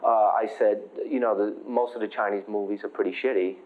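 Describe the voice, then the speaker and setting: An American man, a martial artist, telling an animated anecdote in an interview and acting out what people said. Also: lowish voice, a little fast. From United States. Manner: animated storyteller, quotes people, fillers. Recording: thin phone-like sound.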